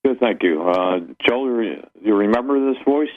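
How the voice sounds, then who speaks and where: A man's voice: medium pitch, moderate pace. A man from Pennsylvania phoning in to a radio show for a casual chat over a narrow phone line. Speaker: phone-in caller.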